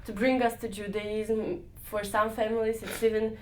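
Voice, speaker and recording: A young woman answering interview questions, searching for words with frequent pauses and restarts. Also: medium pitch, flat delivery, slight background noise, rough recording. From Israel. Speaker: interviewee, volunteer dance leader.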